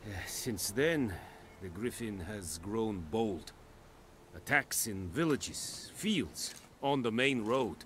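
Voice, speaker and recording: A man playing a stern, pragmatic military captain in a fantasy video game, speaking in a formal, measured way. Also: fairly low pitch, animated, a bit bright. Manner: formal, measured, deliberate non-native phrasing. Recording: faint background noise.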